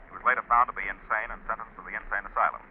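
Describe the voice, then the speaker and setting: Actor as a rapid-fire 1940s radio newsreader. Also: male voice, on the low side, steady tone, natural voice. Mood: urgent, formal. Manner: clipped, formal, urgent. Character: urgent, formal male radio news announcer. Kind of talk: 1940s radio news bulletin acting. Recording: tinny, noisy 1940s radio recording.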